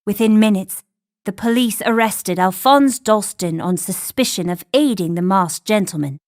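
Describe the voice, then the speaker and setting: An actress playing a thoughtful young assistant who narrates a mystery game's story in a measured, pondering way. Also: female voice, mid-range voice, darkish tone, natural voice. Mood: pensive. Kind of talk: scripted story narration in a game.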